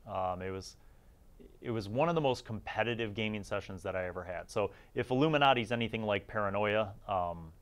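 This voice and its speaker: A man's voice: fairly low pitch, fairly quick, a bit bright. A middle-aged man giving casual, opinionated review commentary in an informal, conversational way as the host of a series.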